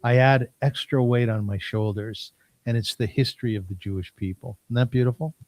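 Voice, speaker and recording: A man hosting a talk show, telling stories and introducing topics in measured, rhetorical phrases. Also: mid-range voice, fairly even intonation, darkish tone, no room sound. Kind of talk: storytelling and scripted show introduction.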